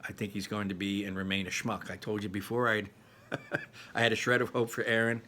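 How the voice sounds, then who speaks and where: A man hosting a read-aloud, giving informal, halting commentary on a chapter and repeating himself for emphasis. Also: fairly low pitch, flat delivery, sharp tone, a little hiss or hum.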